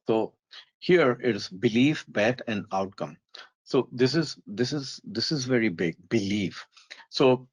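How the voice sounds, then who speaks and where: A man's voice: lowish voice, no echo, rough recording. A middle-aged man from South Asia and the United States giving an informal talk on trading psychology. He thinks aloud, meanders and restarts his sentences often. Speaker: trading educator.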